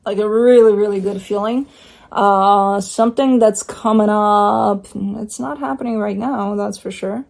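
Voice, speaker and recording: A woman's voice: mid-range voice, fairly even intonation, a little slow, vocal fry, a little mellow. A woman giving a casual tarot reading at home, talking in a chatty, rambling way with blunt asides. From Romania. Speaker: tarot reader. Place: home by a window with a bird feeder.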